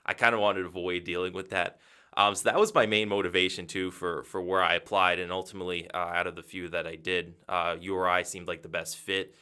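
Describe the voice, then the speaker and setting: Young male sports broadcaster from Massachusetts, very low, bright and crisp voice, measured answers. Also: little pitch movement. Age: 20s. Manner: measured and thoughtful, frequent "um" and "you know". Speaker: radio play-by-play broadcaster. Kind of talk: interview answers.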